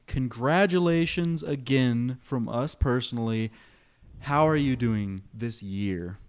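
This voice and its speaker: A man's voice: mid-range voice, slow pace, smooth. A man co-hosting a call-in show, greeting and answering callers conversationally.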